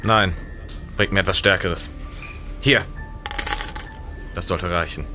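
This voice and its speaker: A man's voice: low voice, slow, pushed and bright, soft background music, full character voice. A man playing a big, nervous, shaken traveller in a period crime radio play, speaking in agitated, formal questions. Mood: shocked, agitated. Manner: agitated, questioning, formal old-fashioned speech. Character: big, nervous, shaken male traveller looking for his friend. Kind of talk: German period crime audio drama.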